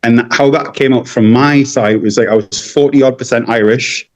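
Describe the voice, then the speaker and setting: A man's voice: mid-range voice, fairly even intonation, normal speed. A man from North-East England, chatting as a podcast guest, hesitant with many fillers and restarts. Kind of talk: casual interview chat.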